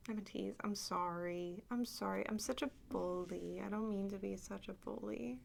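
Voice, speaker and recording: A woman rambling softly and in whispers as an ASMR host, with a cutesy, coaxing, playfully teasing manner. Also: medium pitch, steady tone, moderate pace, vocal fry. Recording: faint background noise.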